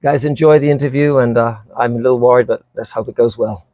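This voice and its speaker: A man's voice: mid-range voice, steady tone, fast talker, dry recording, phone-quality sound. A middle-aged man from England with a British accent tinged slightly with American, chatting casually and unscripted as he is interviewed. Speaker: vlogger being interviewed.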